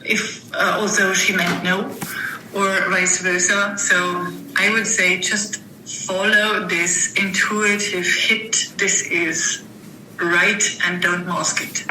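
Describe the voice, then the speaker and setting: A woman speaking as an interview guest, reflecting thoughtfully in halting phrases with pauses. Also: lowish voice, little pitch movement. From Germany. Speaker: interview guest, author and coach. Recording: roomy echo, faint background noise.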